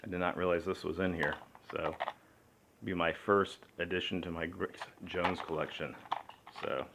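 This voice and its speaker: A man with a very low voice talks his way through a cassette unboxing in a loose, rambling way, full of fillers and upbeat asides. Faint clicking and typing sit behind him. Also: steady tone, normal speed, gravelly, noisy background. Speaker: music collector vlogger. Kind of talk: casual unboxing chat.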